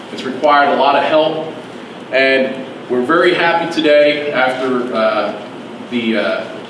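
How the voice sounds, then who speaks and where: A man speaking as a county commissioner at a press conference, halting with many fillers. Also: medium pitch, recorded from a distance. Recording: echoey room, mic from afar, noisy.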